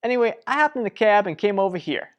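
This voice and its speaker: An actress playing an earnest, protective sister in a radio soap drama, speaking deliberately and persuasively. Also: female voice, medium pitch, expressive, natural voice. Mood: anxious, insistent. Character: earnest, protective sister pleading for a troubled couple. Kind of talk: revived vintage radio soap drama acting.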